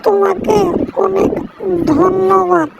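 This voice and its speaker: A woman's voice: very high voice, steady tone, slow pace, vocal fry, heavy background noise, exaggerated voice. A woman voicing a small, caring, hardworking mother bird in a cartoon. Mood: grateful. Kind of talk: cartoon voice acting.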